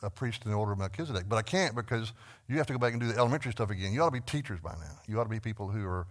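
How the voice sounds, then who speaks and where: A man's voice: fairly low pitch, lively intonation, rapid-fire. A man with a Texan accent leading a church Bible class, talking earnestly with frequent restarts and repeated words. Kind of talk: conversational Bible class teaching.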